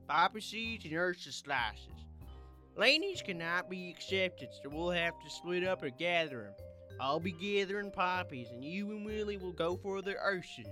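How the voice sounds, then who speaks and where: A woman voicing a stern, bossy female cat in a podcast story, with an American Southern accent and a commanding, scolding, drawn-out delivery. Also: fairly low pitch, lightly acted.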